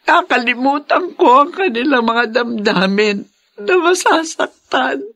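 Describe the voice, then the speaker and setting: Bright-voiced, slow, regretful mother. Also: sits high, lively intonation, dry recording, heavily acted. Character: regretful older mother, now humble, fond of her brother. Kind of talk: Filipino radio drama acting.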